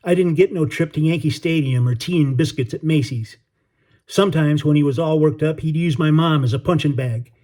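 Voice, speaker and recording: A man voicing a tough, blunt sailor with a New York accent and slangy, gruff talk. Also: medium pitch, steady tone, fast talker, mellow, natural voice. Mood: angry scolding.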